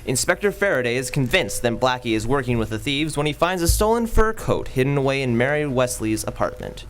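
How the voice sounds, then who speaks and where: A man announcing a story recap in an old-time radio drama, with a brisk announcer delivery. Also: mid-range voice, big pitch swings, a bit bright.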